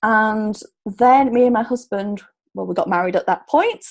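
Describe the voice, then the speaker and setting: A woman's voice: medium pitch, moderate pace, vocal fry. A woman in her thirties from Hull with a Yorkshire accent, chatting casually as an interview guest and laughing often. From Hull, Yorkshire, England. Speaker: guest, relocation coach.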